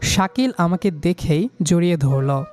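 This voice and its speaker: A man's voice: a little high, animated, unhurried, darkish tone. A young man reading a scripted romantic story in the first person, voicing the lead character's lines.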